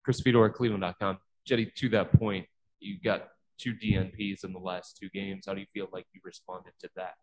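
A male sports reporter with a very deep voice puts direct, probing questions to a player. He speaks in a plain press-conference manner. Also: flat delivery, a little fast, low-quality recording.

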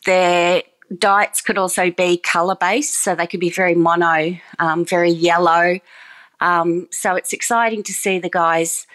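A woman's voice: fairly low pitch, fairly bright tone. Chatty woman with many ums. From Australia. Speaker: farm program coordinator. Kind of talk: interview answers, casual.

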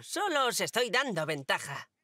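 A woman's voice: mid-range voice, big pitch swings, normal speed, pushed and bright. An actress voicing a cheeky, bossy young boy in a dubbed children's cartoon. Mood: scheming. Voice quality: mischievous, bossy. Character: cheeky scheming boy Norman, about ten.

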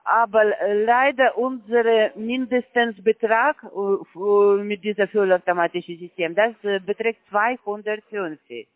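A man who is not a native German speaker, making a sales pitch on a phone call in halting, broken German full of fillers. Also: steady tone, no echo. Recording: phone line, dry.

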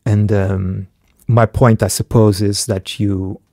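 A man's voice: lowish voice, little pitch movement, slow, warm tone, no echo, studio quality. A middle-aged man raised in Portugal, a filmmaker talking reflectively in a podcast conversation, with hesitant restarts.

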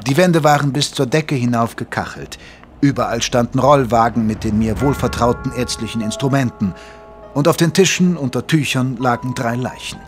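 An actor playing a wry gentleman doctor who narrates a German detective audio drama in a measured, literary manner. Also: medium pitch, lightly acted. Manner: measured, literary, dryly amused.

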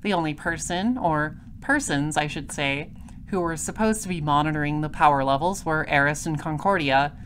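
A woman's voice: low voice, moderate pace, fairly bright tone, a little hiss or hum. A woman playing a composed, droll space detective, narrating an audio drama in a dry, matter-of-fact way.

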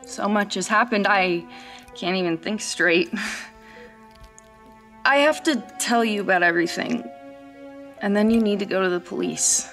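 An actress playing a frightened, shaken hospital patient in a horror audio drama, halting and anxious. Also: female voice, mid-range voice, a bit bright, soft background music.

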